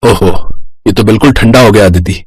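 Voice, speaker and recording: An actor playing an easygoing, teasing young husband in a cartoon story. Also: male voice, fairly low pitch, moderate pace, breathy edge, no room sound. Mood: matter-of-fact.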